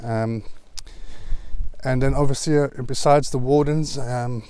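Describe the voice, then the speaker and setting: A man with a South African accent talking casually in a vlog, working through points in a measured, conversational way. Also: medium pitch, fairly even intonation, mellow, clean tone, no room sound. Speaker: vlogger. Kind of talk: casual vlog talk to camera.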